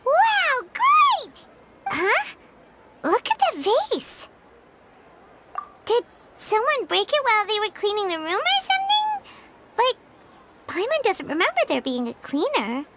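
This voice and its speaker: Game sidekick: little floating fairy, childlike squeak, very high, swooping delivery, chatty and excitable. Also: female voice, slow, long pauses, heavy background noise, full character voice. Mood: excitable, curious. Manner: refers to self in third person, exclamatory. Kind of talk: video game voice acting.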